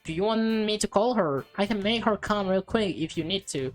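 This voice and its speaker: A woman's voice: medium pitch, normal speed, faint music behind, natural voice. A woman voicing a composed, polite maid in a visual novel, formal and assured, with a slyly helpful air.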